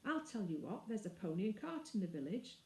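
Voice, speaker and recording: A woman's voice: mid-range voice, big pitch swings, warm tone. A woman voicing an eager, bossy schoolboy in a storybook reading. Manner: eager, brisk, impatient. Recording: roomy indoor echo.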